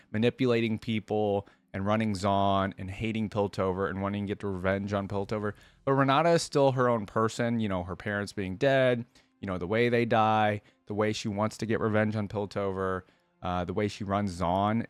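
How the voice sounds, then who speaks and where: A man hosting a reaction video, reading aloud and thinking out loud in a casual, conversational way. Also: lowish voice, clean tone, no echo.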